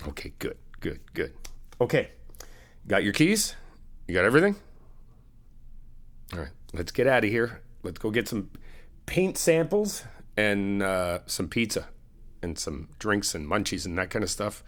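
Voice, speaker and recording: Middle-aged guy doing a chill asmr best-friend roleplay, kinda low voice, reassuring and chatty. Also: sing-song, unhurried, pauses often. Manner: easygoing, reassuring, chatty with soft laughs.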